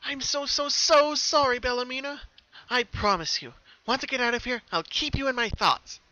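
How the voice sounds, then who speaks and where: Male narrator as young female cartoon pony, shifted way up high, anxious, emotional, slightly dull recording. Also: moderate pace, sharp tone, no echo, full character voice. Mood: guilty, pleading.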